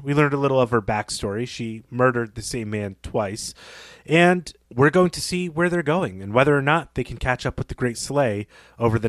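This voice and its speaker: A man co-hosting a podcast, talking casually and earnestly in measured, reflective phrases. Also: medium pitch, a bit bright, no room sound. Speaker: podcast co-host.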